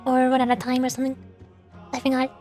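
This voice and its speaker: A woman's voice: sits high, completely flat delivery, fast talker, darkish tone, faint music behind. A woman streaming as a VTuber, chatting casually in a playful, rambling way during a game livestream.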